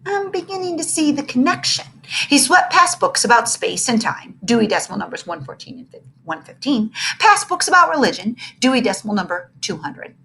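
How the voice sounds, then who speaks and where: A woman reading a children's book aloud in an expressive storytelling style. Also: on the high side, fairly quick, vocal fry, hardly any pauses, a little hiss or hum, low-quality recording. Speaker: storybook reader.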